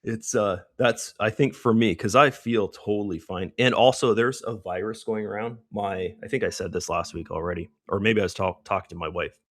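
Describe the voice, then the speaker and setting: A middle-aged man hosting a live trading stream, hoarse and losing his voice, who rambles casually through market commentary with many fillers. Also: lowish voice, normal speed, hardly any pauses, dry recording, studio quality. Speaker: trading livestream host.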